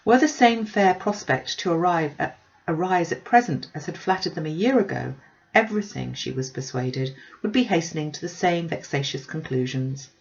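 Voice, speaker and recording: A woman's voice: low voice, creaky, lo-fi sound. A woman reading a classic novel aloud as scripted audiobook narration.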